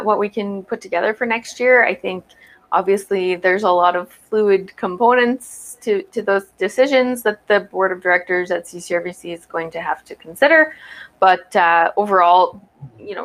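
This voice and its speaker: A woman's voice: mid-range voice, moderate pace, a bit bright. A woman representing an industry council, talking casually on a video call and laughing often. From Canada. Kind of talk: casual chat. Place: home room with green screen.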